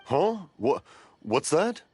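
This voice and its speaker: A man's voice: on the high side, very animated, slow, a little mellow, breathy edge, full character voice. A man playing a jovial, rambling, hard-of-hearing old fireworks craftsman in a video game, hearty and theatrical. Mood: confused, loud. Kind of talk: video game character dialogue. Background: music.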